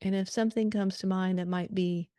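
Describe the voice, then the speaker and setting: A woman leading a guided meditation prayer in a calm, soothing manner, leaving gentle pauses between short phrases. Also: medium pitch, smooth, no room sound.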